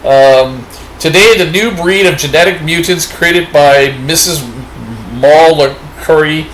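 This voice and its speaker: Brief: upbeat Canadian male comic reviewer, bright slightly high voice, rambling casual show-and-tell. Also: slow pace, slight background noise. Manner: rambling and upbeat, with many fillers. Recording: echoey room.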